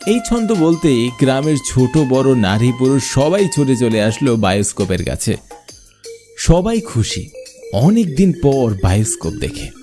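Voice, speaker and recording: A man playing a travelling bioscope showman, narrating an eerie cartoon story in the first person with expressive delivery. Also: medium pitch, normal speed, soft background music, lightly acted. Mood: storytelling. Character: travelling village bioscope showman telling his own eerie tale.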